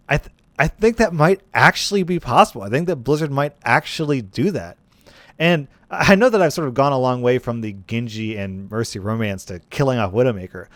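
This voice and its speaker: A man's voice: mid-range voice, no echo. A man giving casual, speculative commentary on a video game, thinking aloud in a conversational way through hypothetical scenarios. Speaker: gaming commentator.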